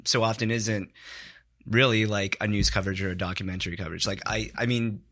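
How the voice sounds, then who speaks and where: A man talks on a studio podcast as a guest. His voice sits a little low but rings bright and forward. He meanders through his thoughts, stringing ideas together with frequent "like"s. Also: fairly even intonation, brisk. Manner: rambling, heavy use of "like", reflective. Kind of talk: casual studio chat.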